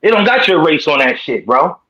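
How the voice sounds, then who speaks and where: A man's voice: fairly high pitch, big pitch swings, a little fast, muffled recording, crowd noise. A man with a New York accent defending his claims in a heated group debate, insistent and repeating himself. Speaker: debate guest.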